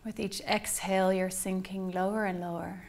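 Woman doing guided meditation, soothing and unhurried, kinda even tone w lots of vocal fry. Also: mid-range voice. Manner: soothing, unhurried, gently instructing. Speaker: meditation guide, yoga instructor.

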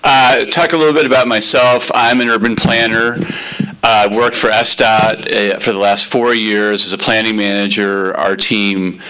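A middle-aged man, a city transportation planner, giving a loud, chatty talk to a community meeting. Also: lowish voice, normal speed, clear, resonant tone, poor audio. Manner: loud, chatty, relaxed explaining.

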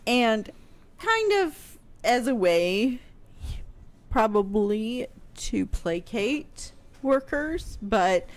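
A woman's voice: a little high, big pitch swings, drawn-out words. A middle-aged American woman hosting a casual radio show, hesitant and dryly sarcastic, close on a studio microphone. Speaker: radio show host explaining history. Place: home room, indoors. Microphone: close boom studio microphone with headphones.

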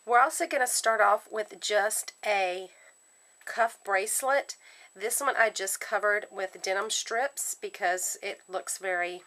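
A woman giving a casual step-by-step craft demonstration at her worktable, chatting in a relaxed way while working with her hands. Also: mid-range voice. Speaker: craft tutorial host.

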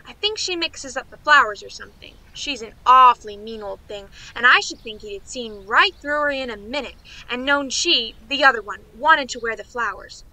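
A woman's voice: a little high, animated, moderate pace, few pauses, bright, forceful tone, low-quality recording. A woman playing a chatty, naive young niece in a radio play, gushing and rambling in a girlish way. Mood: eager, gushing. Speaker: actress.

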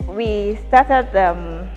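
A Nigerian woman in her thirties, a company founder, answering interview questions in a measured, thoughtful way with frequent fillers, recorded indoors in a factory. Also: mid-range voice, long reverb tail, highs cut off, faint music behind.

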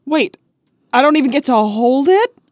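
A woman's voice: sits high, animated, normal speed, darkish tone, no echo, clean studio sound. A woman voicing a flirty, teasing bat thief in a cartoon comic dub, coy and playful. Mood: pouting. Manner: coy, playful, drawling endearments.

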